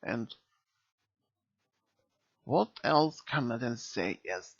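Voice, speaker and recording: Slow, halting reviewer with lively pitch swings. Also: vocal fry, slightly rough. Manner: halting, searching for words. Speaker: video reviewer. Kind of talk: unscripted review commentary. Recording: dull, lo-fi mic sound.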